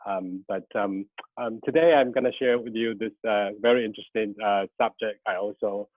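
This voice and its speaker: Guest lecturer, 30s, native Mandarin speaker, very low voice, halting delivery, tinny dry call audio. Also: male voice, steady tone, no room sound. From Taiwan. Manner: hesitant, many ums and restarts. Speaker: guest lecturer, graphic designer. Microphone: video call.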